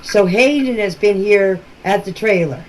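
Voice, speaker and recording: A woman giving informal explanations in voice messages, speaking in a plain, deliberate, matter-of-fact way. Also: mid-range voice, darkish tone. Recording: noisy amateur voice-message recording.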